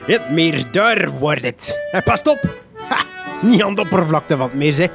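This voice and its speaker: An actor playing an eccentric, rambling, boastful old sea captain with a gravelly voice in a comedy radio drama. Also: male voice, a little high, lively intonation, measured pace, music in the background. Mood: rambling, mysterious. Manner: rambling, repetitive, boastful tall-tale telling.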